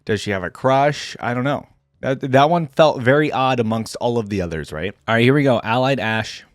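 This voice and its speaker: A man's voice: mid-range voice, lively intonation, fairly quick, dry recording, clean studio sound. A man giving casual reaction commentary as the host of a video.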